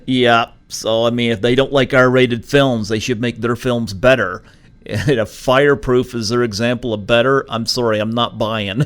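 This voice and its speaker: A man's voice: mid-range voice, fairly even intonation, measured pace, clear, resonant tone. A man hosting a podcast, chatting casually with a guest, opinionated and chuckling between remarks. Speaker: podcast host. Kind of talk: casual interview chat.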